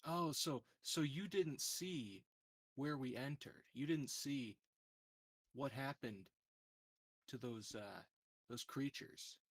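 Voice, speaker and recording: A man's voice: medium pitch, takes it slowly, long pauses, dry recording. A man playing a tabletop roleplaying game, wisecracking in character. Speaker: player.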